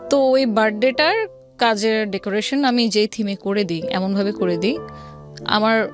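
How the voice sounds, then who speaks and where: A woman's voice: medium pitch, normal speed. A woman with a Bangladeshi accent telling her life story as an interview guest, mixing English words into her speech. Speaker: interview guest, event planner. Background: background music.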